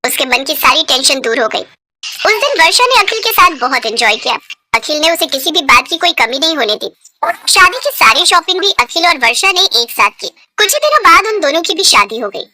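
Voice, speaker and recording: High, bright woman storyteller. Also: no room sound. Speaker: narrator. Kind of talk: scripted story narration.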